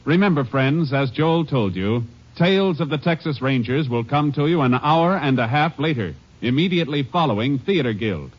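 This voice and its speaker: A man's voice: mid-range voice, expressive, moderate pace. A man announcing in formal, polished, scripted mid-century network radio style. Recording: muffled old broadcast, loud music.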